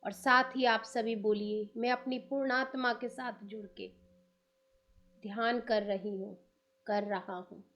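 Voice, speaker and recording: A woman with an Indian accent guides a meditation in a soothing manner, giving instructions in short, unhurried phrases. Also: fairly high pitch, fairly even intonation, a bit bright, dull audio. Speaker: meditation guide, channel host.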